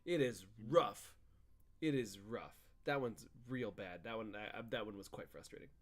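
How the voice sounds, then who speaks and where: A man's voice: lowish voice, lively intonation, moderate pace. A man co-hosting a gaming show, chatting casually with his fellow hosts. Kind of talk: casual chat.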